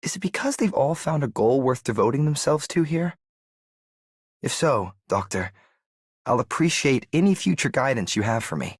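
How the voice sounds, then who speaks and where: A man's voice: mid-range voice, expressive, moderate pace, breathy edge, no echo, studio quality. An actor playing an earnest, polite man in a video game. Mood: sincere.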